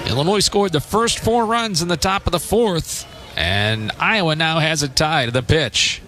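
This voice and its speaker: Higher-voiced man calling baseball, noisy outdoor ambience. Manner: clipped short phrases between measured descriptions. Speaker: play-by-play baseball announcer. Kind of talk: live radio sports commentary.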